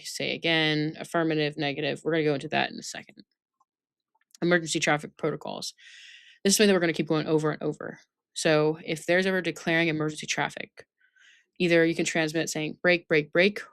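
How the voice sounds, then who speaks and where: A woman's voice: deep voice, creaky, fairly bright tone, no echo. A woman instructor giving an informal training lesson, reading out points and explaining them, often checking that listeners follow. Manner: instructive, checks understanding with tag questions.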